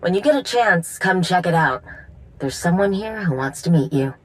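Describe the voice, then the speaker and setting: An actress playing a friendly, determined droid tinkerer in a video game, talking in a casual, reassuring way. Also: female voice, on the low side, expressive, normal speed, natural voice, poor audio. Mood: friendly, upbeat.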